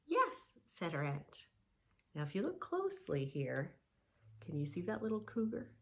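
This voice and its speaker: A woman reading a children's book aloud and gently guiding kids through yoga poses, soothing and instructive. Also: fairly low pitch, very animated, normal speed. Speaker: kids yoga teacher and reader.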